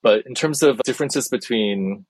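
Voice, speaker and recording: A man's voice: mid-range voice, normal speed, no echo. A man born in China and raised in the US, speaking, answers interview questions as a translator, thoughtful and hedging, with frequent ums and restarts. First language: Chinese. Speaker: guest translator.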